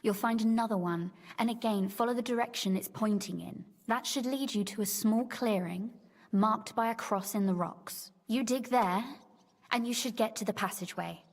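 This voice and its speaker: A woman's voice: a little high, normal speed, in a large hall. An actress playing a shrewd, teasing young medieval noblewoman in a video game, speaking in articulate, formal phrases. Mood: instructive. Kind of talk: scripted game dialogue.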